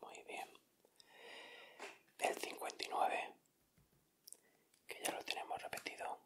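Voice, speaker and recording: Whispering man, slow ASMR delivery. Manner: soft, unhurried, deliberate narration of each item. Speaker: ASMR video creator. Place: indoors at a table.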